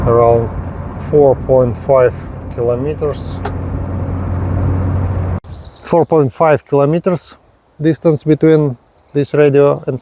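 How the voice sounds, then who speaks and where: A man narrating a hobby field test vlog step by step in halting, short, repetitive phrases. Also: medium pitch, background noise. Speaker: radio hobbyist presenting a range test.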